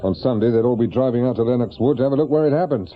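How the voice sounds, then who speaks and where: A man's voice: mid-range voice, very fast, lightly acted. A man playing a wry, bitter middle-aged English private investigator, once a policeman, with a dry, grumbling, colloquial way of talking in a radio drama. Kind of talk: naturalistic British radio drama acting. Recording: muffled radio recording with clear background noise.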